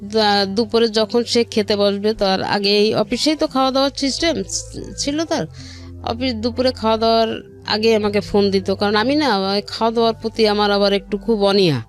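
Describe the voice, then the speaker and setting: A woman's voice: medium pitch, steady tone, brisk. A middle-aged woman with a Bangladeshi accent, telling her own life story conversationally as an interview guest, recounting who said what in detail. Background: background music.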